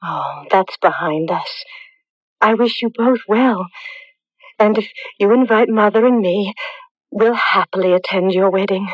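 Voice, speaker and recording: Frail, slow, bewildered young woman, an actress's role. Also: mid-range voice, no echo, lightly acted. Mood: subdued. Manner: halting, fragile, stammering. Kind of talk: radio drama acting. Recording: dull lo-fi old radio recording.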